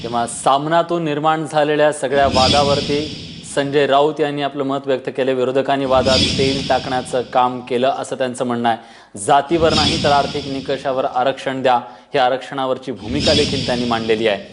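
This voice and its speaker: A man's voice: medium pitch, fairly even intonation, brisk. A man with a Maharashtrian accent presenting the news as a television anchor, summing up a report. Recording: clearly noisy news audio.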